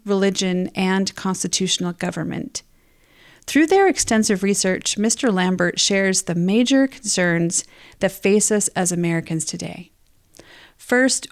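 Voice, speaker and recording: A woman's voice: mid-range voice, a little mellow. Female podcast co-host, medium register, explanatory discussion delivery. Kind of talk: book discussion podcast, part casual chat, part reading prepared notes.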